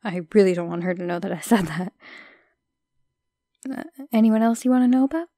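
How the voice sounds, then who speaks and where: A young woman playing a shy, friendly, modest minor goddess in an audio roleplay, chatting hesitantly with soft asides. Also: mid-range voice, brisk, dry recording, clean studio sound, natural voice. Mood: gossipy, careful.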